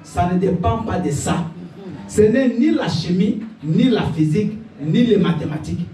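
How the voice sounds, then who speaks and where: A male pastor, preaching a sermon, shouting his key points and laughing through his stories. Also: high-pitched, animated, normal speed. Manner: exhorting, laughing asides, shouted declarations. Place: church. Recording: echoey church hall, picked up from a distance. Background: congregation responding.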